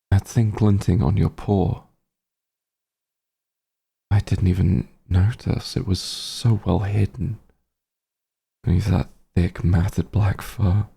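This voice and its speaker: A man playing a bitter, grieving werewolf hunter in an audio roleplay, gravelly and husky, speaking with halting, menacing intensity. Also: deep voice, dark tone, exaggerated voice. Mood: wary, puzzled. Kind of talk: dramatic audio roleplay monologue.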